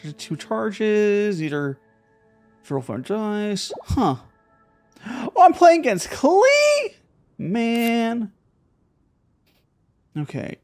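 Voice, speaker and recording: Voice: medium pitch, sing-song, drawn-out words, long pauses, darkish tone, soft background music. A streamer talking casually while playing a card game, thinking aloud with many fillers and repeated words. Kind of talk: casual live gameplay commentary.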